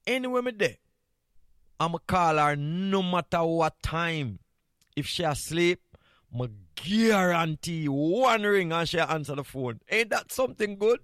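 A man's voice: on the high side, a bit bright, no room sound. Jamaican man hosting radio, close dry sound. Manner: playful, candid, repeats phrases for emphasis. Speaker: radio host. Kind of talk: casual morning radio chat.

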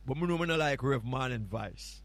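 A man's voice: mid-range voice, animated, a little fast. Playful Jamaican man hosting a morning show. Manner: playful, rambling, teasing. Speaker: stand-in radio show host. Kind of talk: casual morning show chat.

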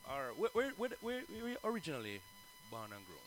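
A high-pitched man hosts the interview, his pitch leaping around as he asks questions and keeps agreeing. A clear hum runs behind his voice. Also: wildly swooping pitch, fast. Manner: agreeable, many affirmations. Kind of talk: casual interview.

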